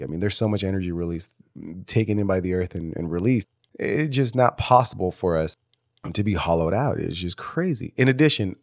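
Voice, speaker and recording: A congested man with a stuffy nose, rambling through his own podcast episode. His voice sits a little low, and he stumbles over restarts and swears casually as he goes. The sound is dry and close, with no room around it. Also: darkish tone, dry recording. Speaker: podcast host. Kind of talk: casual solo podcast monologue.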